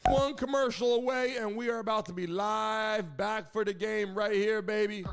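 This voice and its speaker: A man hosting a live stream in an excitable, slangy way, chattering to his chat and reading messages aloud. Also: high-pitched, moderate pace. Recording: music playing loudly behind.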